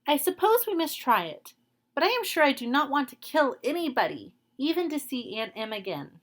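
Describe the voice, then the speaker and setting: Woman putting on a high, plaintive young girl. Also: big pitch swings, normal speed. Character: young girl, gentle and worried.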